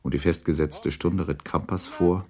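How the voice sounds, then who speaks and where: Deep-voiced male radio-drama narrator. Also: fairly even intonation, moderate pace, a little mellow.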